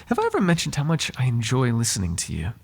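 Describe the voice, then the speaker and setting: Male actor as a devoted butler, ASMR roleplay, gravelly edge, lively swooping pitch, formal courtly phrasing, tender teasing flirt. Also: fast, heavily acted. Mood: adoring, lovestruck. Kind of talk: intimate ASMR roleplay acting.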